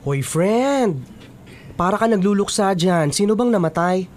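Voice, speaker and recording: A woman's voice: mid-range voice, animated, unhurried, a little mellow, lightly acted. Woman doing a nosy sassy bestie in a radio drama, voice swoops around a lot, light music behind. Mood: teasing, curious. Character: sassy, nosy, supportive young female best friend. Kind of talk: Filipino radio drama acting.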